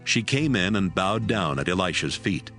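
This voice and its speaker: A man's voice: deep voice, expressive, normal speed, a bit bright, faint music behind. A man narrating a dramatized Bible reading as a scripted storyteller.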